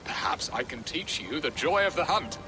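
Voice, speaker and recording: A man's voice: a little high, sing-song, normal speed, over music, poor audio. A man playing a sentimental, genial middle-aged nobleman in a period game, speaking in a courtly, effusive way. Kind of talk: dramatic period game acting.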